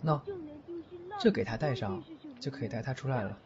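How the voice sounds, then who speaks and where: A man's voice: mid-range voice, animated, brisk, crowd noise, natural voice. A man voicing a teasing godfather who knows minor magic, in an audio drama. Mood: teasing, stern. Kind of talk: Chinese audio drama acting. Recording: dull lo-fi fan drama audio.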